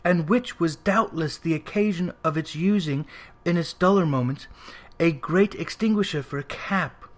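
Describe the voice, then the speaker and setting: A man with a slightly high voice reads classic fiction as a narrator. His delivery is measured and expressive, in a literary storytelling style. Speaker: audiobook narrator.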